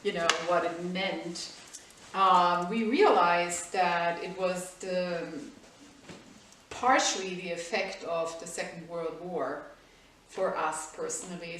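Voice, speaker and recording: A German woman in her fifties with a German accent, recalling her past slowly in an interview. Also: fairly low pitch, fairly bright tone, slight background noise. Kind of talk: recalled personal history in an interview. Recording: echoey room, far from the mic.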